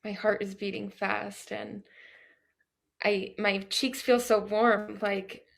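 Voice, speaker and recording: Woman, discussion host, effusive and searching, slow delivery with some vocal fry. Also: medium pitch, flat delivery. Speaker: host responding to a performer. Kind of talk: reflective post-show discussion.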